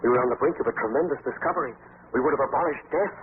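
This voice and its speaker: An actor playing an obsessed, embittered, down-on-his-luck male scientist in 1940s radio style, intense and halting, often repeating himself. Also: medium pitch, natural voice. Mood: fervent. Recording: tinny, noisy old 1940s radio recording.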